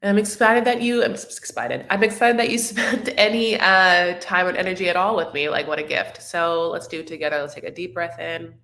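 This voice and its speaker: A woman's voice: lowish voice, fairly even intonation, a little fast, creaky, hardly any pauses, fairly bright tone. A woman hosting a casual live stream about reality TV, chatting in a rambling way with many fillers and restarts. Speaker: reality TV recap livestream host. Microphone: webcam or phone on a live stream. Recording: echoey room.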